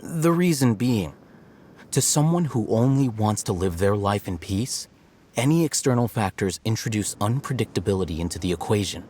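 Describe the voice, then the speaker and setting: A man voicing a calm, aloof young male scholar in a video game, measured and dry. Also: on the low side, a little hiss or hum, natural voice. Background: music.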